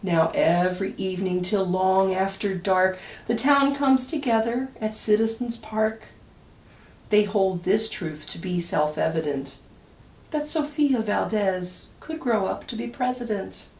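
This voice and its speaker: A woman reading a children's picture book aloud expressively, with deliberate dramatic pauses and emphasis. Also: medium pitch, darkish tone. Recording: echoey room, distant microphone.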